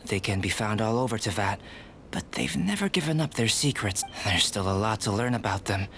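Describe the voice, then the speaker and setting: An actor playing a calm, studious young alchemist in an anime fantasy video game, speaking in a measured, polite and precise way. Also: male voice, lowish voice, a little hiss or hum. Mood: intrigued.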